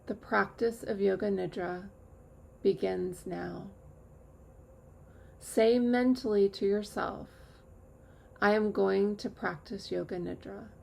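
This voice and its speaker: Slow, very creaky-voiced woman guiding meditation. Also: medium pitch. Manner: calm, measured instruction with long pauses. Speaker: yoga nidra teacher. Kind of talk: guided meditation.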